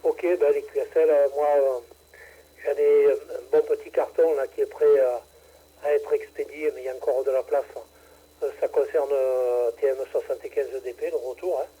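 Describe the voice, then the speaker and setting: A man's voice: medium pitch, little pitch movement, normal speed, slightly rough, phone-quality sound. A man running a ham radio net, chatting casually and hesitantly with many fillers, from southern France. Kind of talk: casual on-air radio chat.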